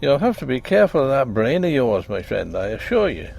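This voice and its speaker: A man's voice: medium pitch, big pitch swings, fairly quick, vocal fry, a little mellow. A man voicing a blunt, hearty young country doctor with an Irish accent, brusque and teasing. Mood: bluff.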